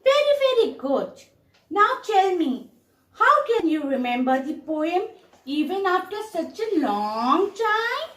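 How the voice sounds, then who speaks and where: Lady doing a caring lil puppet girl, super high, slow n teachery, roomy echo. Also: very animated, fairly bright tone, exaggerated voice. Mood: explaining, encouraging. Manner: slow, emphatic, coaxing and teacherly. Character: Dora, a caring, instructive young girl puppet. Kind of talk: children's puppet show dialogue. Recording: echoey room.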